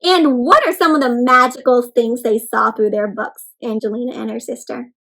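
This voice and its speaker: A woman with a high voice reads a picture book aloud to young children. She is expressive and encouraging, pausing to put questions to her small listeners and talk directly to them. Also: vocal fry. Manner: addresses listeners as friends. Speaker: children's read-aloud host. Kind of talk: interactive storybook read-aloud for young children.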